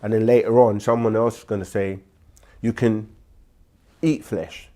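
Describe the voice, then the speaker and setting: A man hosting a live question-and-answer stream, speaking with a sore throat and a congested voice, deliberate and full of restarts. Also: fairly low pitch, steady tone, mellow. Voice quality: slow, deliberate delivery with frequent restarts. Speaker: host answering viewer questions. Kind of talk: livestream Q&A teaching.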